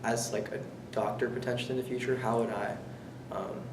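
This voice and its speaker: A young man with a medium, even-pitched voice answers casually and hesitantly. He sounds like he is in an echoey room with noticeable background noise. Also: fairly quick. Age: young adult.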